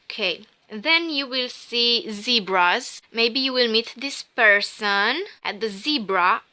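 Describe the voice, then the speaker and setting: A woman with a Romanian accent speaks slowly and dreamily, her voice bright and smooth. She muses her way through a rune reading in English, her second language. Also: a little high. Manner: slow, musing, dreamy. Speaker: tarot reader.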